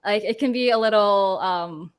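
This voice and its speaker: A woman's voice: medium pitch, moderate pace, smooth. Chatty woman moderating a panel. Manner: informal, chatty, acts out imagined remarks. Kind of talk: casual chat.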